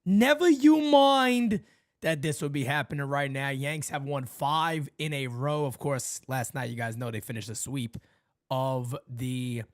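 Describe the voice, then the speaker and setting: Excitable man, casual sports chat. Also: medium pitch, measured pace. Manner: animated, swings to loud excited outbursts. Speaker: podcast co-host.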